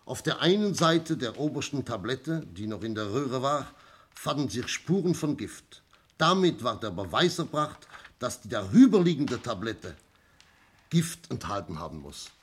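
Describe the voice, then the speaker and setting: A man playing a shrewd, self-assured police inspector in a 1960s radio crime drama, explaining things theatrically with emphatic pauses. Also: medium pitch, very animated, breathy edge. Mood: confident, emphatic. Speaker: actor in a radio play.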